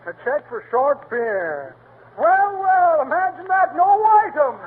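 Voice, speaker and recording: A man's voice: very high-pitched, very animated, measured pace, exaggerated voice. An actor playing a sly pickpocket gloating over his loot with one pun after another. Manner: pun-laden, gloating patter. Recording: tinny 1930s radio broadcast, faint hiss.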